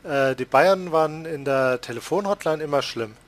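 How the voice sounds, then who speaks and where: A man's voice: a little high, moderate pace. A man with a Palatinate accent chatting casually while playing a farming game, laughing often and teasing himself. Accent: Palatinate German. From Palatinate, Germany. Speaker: let's play host. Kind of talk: casual gaming chat.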